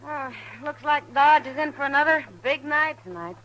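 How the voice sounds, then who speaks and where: A woman's voice: high-pitched, steady tone, moderate pace, fairly bright tone, a little hiss or hum, low-quality recording. An actress playing a worldly, frank and chatty saloon woman in an old-time radio Western. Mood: relaxed, chatty. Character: worldly, sociable frontier saloon woman, plain-spoken.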